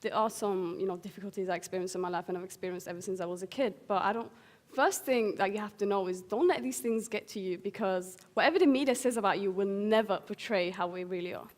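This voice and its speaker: A woman's voice: mid-range voice, fast. A teenage young woman from Syria giving an earnest prepared public speech. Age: teens. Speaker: young guest speaker.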